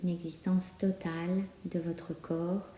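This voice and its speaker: Slow, very mellow woman guiding relaxation. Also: on the low side, a little hiss or hum. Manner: calm, soothing instruction with many pauses. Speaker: yoga nidra guide. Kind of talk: guided relaxation meditation. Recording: roomy, echoing sound.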